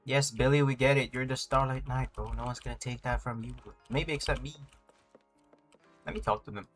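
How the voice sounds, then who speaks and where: A man's voice: mid-range voice, steady tone, fairly quick, faint music behind. A man livestreaming a game with casual, joking commentary full of slang, sometimes reading dialogue out. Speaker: streamer.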